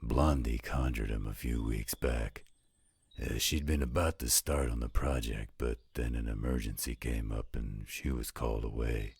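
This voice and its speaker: A man voicing a gruff, patient older werewolf stepfather in a scripted roleplay audio drama. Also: extremely low pitch, fairly even intonation, a little mellow, no echo, full character voice. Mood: matter-of-fact, patient. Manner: gruff, dry, unhurried.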